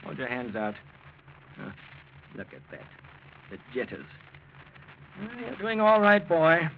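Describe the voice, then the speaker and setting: Crotchety, domineering old grandfather, higher-pitched voice. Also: very animated, a little slow, slight background noise. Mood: mocking. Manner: blunt, accusing, crotchety. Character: sour, suspicious old grandfather, domineering and sharp-tongued. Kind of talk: 1940s radio drama acting. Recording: muffled old radio recording.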